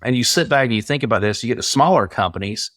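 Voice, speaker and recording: A man's voice: mid-range voice, lively intonation, fast talker, a bit bright, no room sound, clean studio sound. A man hosting an interview, asking long, rambling conversational questions. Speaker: podcast host. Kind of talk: casual interview.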